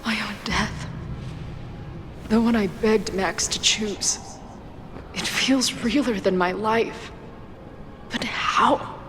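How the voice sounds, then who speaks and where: A woman's voice: medium pitch, slow pace, pauses often, natural voice. Casting: female actor for young woman, troubled, blunt, foul-mouthed game character; mid-range voice, noisy ambient backdrop. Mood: haunted, confused. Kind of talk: video game cutscene acting, inner monologue.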